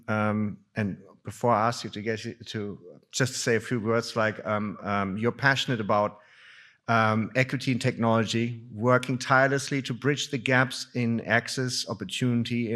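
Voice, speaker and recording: A man moderating a conference session, introducing speakers partly from notes in a halting, hesitant way. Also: mid-range voice, a bit bright.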